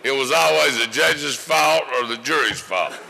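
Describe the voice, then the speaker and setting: A man giving an informal eulogy, telling folksy anecdotes in a halting way to a gathering in a large hall. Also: medium pitch, gravelly, noisy background. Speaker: criminal defense lawyer giving a eulogy. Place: large indoor hall before an audience. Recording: echoey hall with long reverb.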